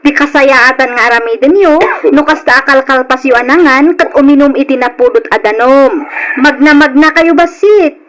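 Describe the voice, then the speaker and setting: A woman's voice: high voice, normal speed, a bit bright, poor audio. A woman playing an earnest, caring adult daughter and younger sister in a radio drama, explaining things carefully. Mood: concerned, admonishing.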